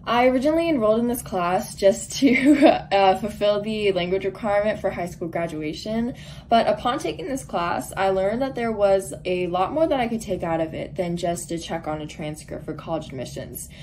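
A woman's voice: mid-range voice, animated, moderate pace, a little mellow, slight background noise. Earnest teenage girl giving a testimonial. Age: teens. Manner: friendly, earnest, some filler ums. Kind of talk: prepared testimonial. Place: bedroom at home, indoors.

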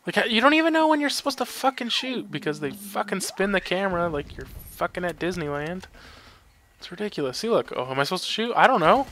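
A man's voice: high-pitched, very animated, quick pace, fairly bright tone, slight background noise. A man giving casual, sarcastic video game commentary, grumbling and swearing freely. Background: game sound effects and ambience.

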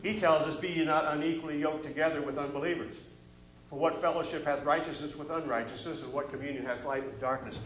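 A middle-aged man from the preaching at a church pulpit with a fairly high voice. He reads scripture and presses his points with rising fervor. The pulpit mic picks him up in a roomy hall with a ringing echo. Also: fairly even intonation, fast, a bit bright. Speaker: pastor. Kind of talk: sermon. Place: church stage at a wooden pulpit. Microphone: pulpit microphone.

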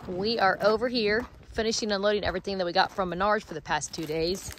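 A woman's voice: mid-range voice, steady tone, fast, fairly bright tone. A woman in her thirties giving a chatty, emphatic vlog update outdoors, filming herself on a phone at arm's length. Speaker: co-host vlogger. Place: outdoors at a building site. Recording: outdoor phone audio with faint noise.

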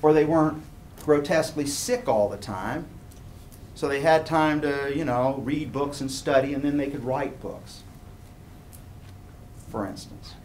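A man's voice: medium pitch, measured pace. A man in his sixties giving an informal university lecture in a conversational way, often checking in with his listeners. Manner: conversational, animated gesturing, rhetorical check-ins. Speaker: college professor lecturing. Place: university classroom, standing at lectern. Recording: classroom recording with clear background noise.

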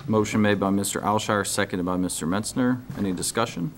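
A man chairing a city council meeting, briskly calling motions and votes into a desk microphone. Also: lowish voice, noisy background. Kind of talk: formal meeting procedure. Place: council chamber.

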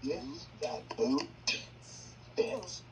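An actor playing a sarcastic, defensive toy cowboy in an animated film, speaking emphatically, recorded off a distant, noisy screen. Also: mid-range voice, extremely slow, gravelly, heavily acted.

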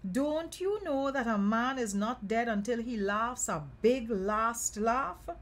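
A woman's voice: fairly high pitch, takes it slowly, voice pushed up. A woman playing a clever, inquisitive male bird in a folk tale, with exclamatory, questioning delivery. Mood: probing.

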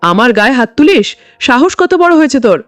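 A woman's voice: high-pitched, very animated, fairly quick, dry recording, soft background music. A woman voicing an arrogant, bullying male police inspector in an audio story, domineering and contemptuous. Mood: furious.

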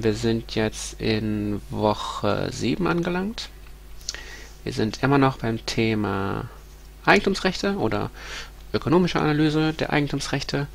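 Congested male lecturer, 30s, hesitant and halting, gravelly texture, desk mic. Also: medium pitch, slight background noise. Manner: hesitant, many ums and restarts. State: stuffy nose from a cold. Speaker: university lecturer. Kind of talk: recorded lecture with slides. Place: small room at home.

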